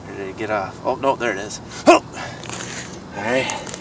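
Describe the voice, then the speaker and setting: A man in his forties filming himself ice fishing outdoors with a handheld phone, chatting in an excitable, self-deprecating way. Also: fairly low pitch, moderate pace, noisy background. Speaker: fishing vlogger. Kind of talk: casual vlog narration. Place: outdoors on a frozen pond. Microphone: phone camera at arm's length.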